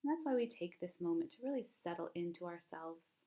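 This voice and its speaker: A woman with a slightly low voice leads a guided meditation. She speaks in a calm, soothing manner, leaving long, unhurried pauses between gentle instructions, as a mindfulness teacher would.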